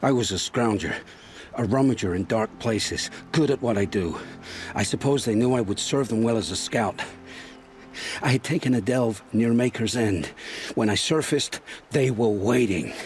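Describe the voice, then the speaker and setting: An actor voicing a haunted, grim male scavenger who tells dark stories with intensity, in a video game. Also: fairly low pitch, slight background noise, lightly acted. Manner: intense, dramatic storytelling.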